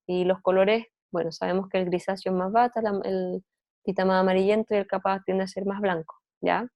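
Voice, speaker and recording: A woman lectures in a relaxed, conversational way, often pausing to check that her students follow. Her voice is mellow and warm, recorded dry and close with no room sound. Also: medium pitch, dry recording. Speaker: Ayurveda therapy teacher. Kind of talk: informal class lecture.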